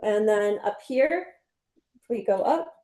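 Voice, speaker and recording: A woman from New Jersey giving a casual home tour and interview, chatty and halting, laughing easily. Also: medium pitch, expressive, warm tone. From New Jersey, USA. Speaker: interview guest and expat homeowner.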